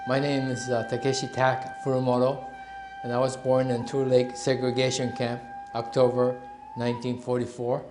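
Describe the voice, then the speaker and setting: A man's voice: medium pitch, monotone, moderate pace, music in the background. A man in his seventies speaking earnestly and emphatically in a documentary interview. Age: 70s. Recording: echoey room.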